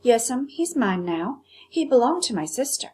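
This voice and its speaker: A woman's voice: fairly high pitch, big pitch swings, unhurried, mellow, husky, voice pushed up, heavily acted. Woman as a humble street boy. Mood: matter-of-fact. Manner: plain, deferential, folksy. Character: poor street boy, polite, humble, folksy Southern kid.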